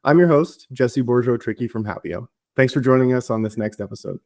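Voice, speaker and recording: A man's voice: medium pitch, a little fast, a little mellow. A man hosting a podcast, asking questions in an upbeat, loose way with fillers. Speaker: podcast host. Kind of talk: casual interview hosting.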